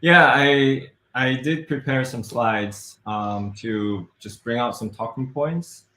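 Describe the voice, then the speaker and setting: A man's voice: medium pitch, slow, bright, forceful tone, echoey room. A man with a Korean accent, a guest in a casual webinar chat, speaking hesitantly with many fillers. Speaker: startup advisor and guest.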